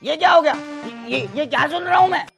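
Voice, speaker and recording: A man's voice: very high-pitched, a little fast, vocal fry. A man voicing a bewildered comic cartoon character in exclamatory sound-bites. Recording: loud music over sound-bites.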